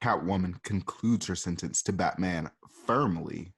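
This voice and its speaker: A man casually narrating the stage directions of an amateur radio play, chatty and animated. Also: low-pitched, unhurried, vocal fry, breathy edge.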